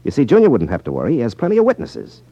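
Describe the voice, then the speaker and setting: An American actor playing a cool, wry magician detective in a 1950s radio drama, with a teasing, unruffled manner. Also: male voice, mid-range voice, wildly swooping pitch, rapid-fire, mellow, no echo. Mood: cool, taunting. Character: cool, wry magician detective who exposes gambling rackets. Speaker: lead actor in a detective series. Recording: muffled 1950s radio broadcast recording.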